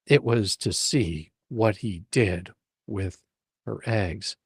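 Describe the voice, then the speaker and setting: Man, expressive storybook narrator, playful, theatrical, close dry recording. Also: lowish voice, takes it slowly, breathy edge, dry recording. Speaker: reader and host of a live dramatic reading. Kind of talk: dramatic storybook narration with casual asides.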